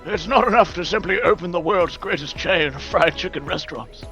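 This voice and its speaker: Suave, pompous romantic chef for a dating sim: higher-pitched, forceful, courtly. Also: male voice, brisk, faint music behind. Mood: earnest, romantic. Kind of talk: comic dating-sim voice acting.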